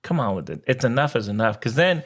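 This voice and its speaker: A man's voice: mid-range voice, animated, quick pace. Blunt American man talking sports casually. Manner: blunt, informal, mildly crude slang. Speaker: sports podcast co-host.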